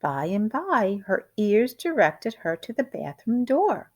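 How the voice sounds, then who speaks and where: A woman reading a children's picture book aloud like a teacher, with expressive, deliberate and dramatic storytelling. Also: mid-range voice.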